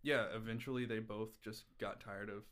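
A man in his thirties with an American accent and a slightly low voice talks as an interview guest. He reminisces casually, in short informal bursts strung together with "like". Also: steady tone, a bit bright, smooth. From Texas, US. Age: 30s. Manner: informal, halting phrases full of "like". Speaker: interview guest, drummer and musician.

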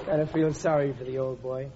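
A man's voice: mid-range voice, mellow, natural voice. A man playing a bumbling, gullible but sly everyman in 1940s radio comedy, stammering and repeating himself in a blustery way. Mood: pleased, crafty. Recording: muffled old radio recording, clear surface noise.

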